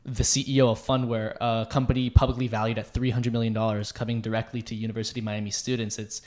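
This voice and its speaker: A man's voice: medium pitch, level tone, quick pace, darkish tone. A young man, a student club president, chatting on a podcast in an upbeat, promotional way. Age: young adult. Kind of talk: casual podcast chat.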